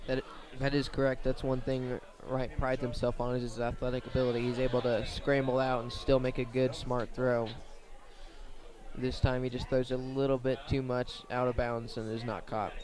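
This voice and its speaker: Even-toned man calling football play-by-play. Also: medium pitch, moderate pace, a crowd in the background. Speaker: play-by-play sports commentator. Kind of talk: live high school football radio commentary.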